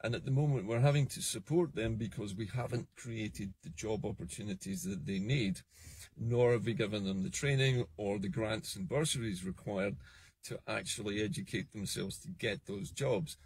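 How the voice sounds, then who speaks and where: A Scottish man in his fifties giving an earnest, measured political monologue to a phone camera from a parked car. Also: lowish voice, a little mellow, breathy edge. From Scotland. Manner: earnest, measured, emphatic on key points. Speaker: political commentator. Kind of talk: solo political vlog monologue. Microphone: phone camera at arm's length.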